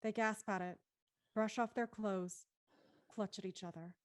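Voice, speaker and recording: A woman's voice: medium pitch, steady tone, unhurried, dry recording, clean studio sound, natural voice. A woman acting as the intent narrator of an audio thriller, reading dramatic scripted narration. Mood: intent storytelling.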